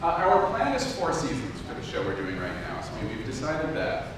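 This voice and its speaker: A man's voice: huge pitch swings, normal speed. A man giving an informal lecture to an audience as an audio drama producer, in a casual, self-deprecating way. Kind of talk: informal talk with audience questions. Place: indoor room with an audience. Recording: very echoey room, distant mic, noisy rumble.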